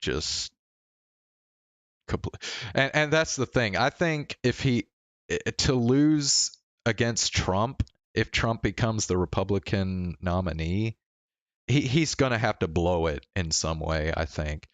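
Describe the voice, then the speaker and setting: A man with a low, smooth voice talks slowly and haltingly, as if thinking aloud on a casual livestream. He stammers into restarts and swears offhandedly. The sound is close and dry. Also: lively intonation, no echo, dull audio. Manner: casually profane. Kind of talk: casual rambling stream chat.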